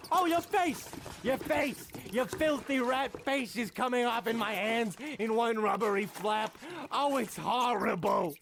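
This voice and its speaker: Man as a very high, brash radio crook. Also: measured pace, vocal fry, few pauses, noisy background, exaggerated voice. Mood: horrified. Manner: melodramatic, brash. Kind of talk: old-time radio comedy acting.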